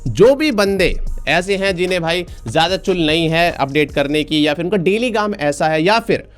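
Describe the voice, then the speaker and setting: A man's voice: high voice, lively intonation, moderate pace, faint music behind. A man with an Indian accent explaining things informally to viewers as a video host, talking to them like buddies. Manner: colloquial, addresses viewers as buddies. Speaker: tech video host reviewing electric scooters.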